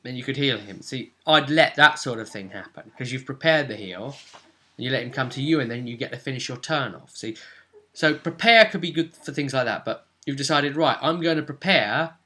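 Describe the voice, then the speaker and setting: A man's voice: medium pitch, animated, brisk, bright, forceful tone. A man talking casually through a tabletop roleplaying recap as game master, thinking aloud and checking with tag questions.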